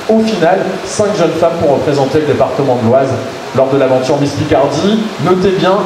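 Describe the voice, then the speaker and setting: Man, event host, fairly high voice, halting delivery, booming hall echo. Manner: hesitant, many fillers, upbeat. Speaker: pageant organizer presenting candidates. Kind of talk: hosting a press presentation. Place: large echoey hall. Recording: very echoey hall with loud noise.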